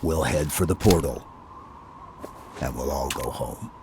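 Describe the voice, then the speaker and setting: A man's voice: extremely low pitch, measured pace, background noise. A man playing a stoic, resolute armoured super-soldier in a video game, sparing with words. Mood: steady, resolute. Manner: laconic.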